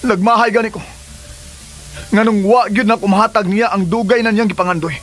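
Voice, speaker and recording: A man's voice: high voice, fairly even intonation, brisk, fairly bright tone. A man with a Cebuano accent playing an ardent, defensive lover and expectant father in a radio drama. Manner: emphatic, earnest. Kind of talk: Filipino radio drama acting. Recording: music under the dialogue.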